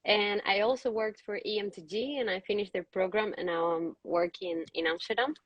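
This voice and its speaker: A woman from the Canary Islands speaking English, a nurse chatting in a relaxed way in an interview. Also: medium pitch, brisk, no echo, dull audio. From Canary Islands, Spain. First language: Spanish. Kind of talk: casual interview.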